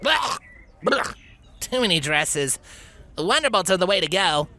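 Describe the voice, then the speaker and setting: A woman's voice: on the low side, sing-song, unhurried, sharp tone, pitched above the performer's own voice, full character voice. A woman voicing a brash, teasing, tomboyish young pegasus filly with a blunt, dismissive manner for a story.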